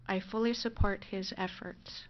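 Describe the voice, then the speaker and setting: A soft-spoken woman giving a nervous, halting closing statement in a courtroom, speaking through a courtroom microphone. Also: mid-range voice, lo-fi sound. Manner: hesitant, halting with fillers and restarts. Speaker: defendant giving closing argument.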